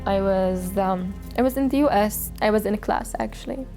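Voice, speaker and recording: A young woman speaks thoughtfully and a little haltingly, often pausing on small fillers as she tells her story. Her voice sits at an ordinary middle pitch, with music playing clearly beneath her. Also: warm tone. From Syria. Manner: reflective and hesitant, frequent "you know" fillers. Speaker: interviewee. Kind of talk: documentary interview and personal testimony. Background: background music.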